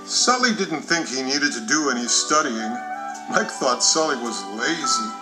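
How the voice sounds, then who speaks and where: Lively man narrating a children's story. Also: medium pitch, measured pace, low-quality recording. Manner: expressive, clearly phrased storytelling. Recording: music behind the voice.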